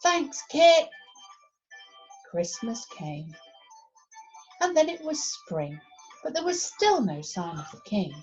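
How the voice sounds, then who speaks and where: A woman reading a children's storybook aloud as expressive narration. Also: on the low side, takes it slowly, long pauses, slightly rough, faint music behind, poor audio. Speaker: primary school story reader.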